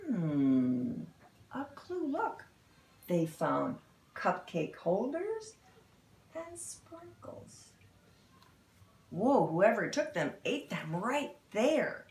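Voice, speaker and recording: Animated, slow-paced woman reading to children. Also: mid-range voice. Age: middle-aged. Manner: dramatic, expressive, pauses and exclaims. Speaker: storybook reader for children. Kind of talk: animated children's read-aloud with asides. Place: indoor room in a house. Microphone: camera a few feet away. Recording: roomy, echoey home recording.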